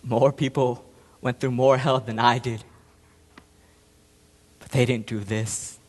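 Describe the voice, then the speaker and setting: In a long hall reverb, a man acts a guilt-ridden, haunted marine veteran confessing on stage. His delivery is halting and intense, moving between hushed and explosive, in a high-set male voice. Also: lightly acted. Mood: haunted, subdued. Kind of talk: theatrical stage monologue. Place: large echoing room, performing to an audience.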